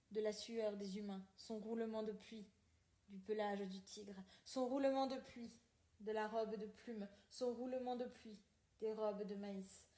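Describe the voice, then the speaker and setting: A woman reading literary poetry aloud in a deliberate, declamatory way with measured pauses. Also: on the high side, mellow. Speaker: podcast reader. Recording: roomy, echoing sound.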